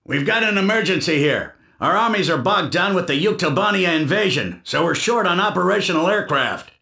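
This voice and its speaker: A smug military superior in a game cutscene, theatrical and wheedling. His voice is creaky and forceful with big pitch swings, heard in an echoey room a little off the mic. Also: animated, fairly quick, recorded from a distance, exaggerated voice. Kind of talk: video game cutscene acting.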